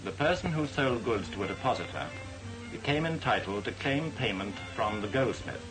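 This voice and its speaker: Guy doing formal 1940s film narration, lively up and down pitch, music playing behind him. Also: low-quality recording. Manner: formal, measured, didactic. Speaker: documentary narrator. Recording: vintage 1940s film soundtrack.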